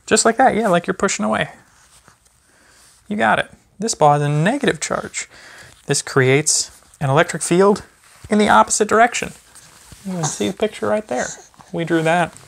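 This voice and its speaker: A man in his thirties, a teacher, reading a children's book aloud with gentle, chatty asides to a baby. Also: sits high, normal speed, creaky, long pauses. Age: 30s. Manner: gentle parent talking to a baby. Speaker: physics teacher. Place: small carpeted or wood-floored room at home, indoors. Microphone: phone camera a few feet away.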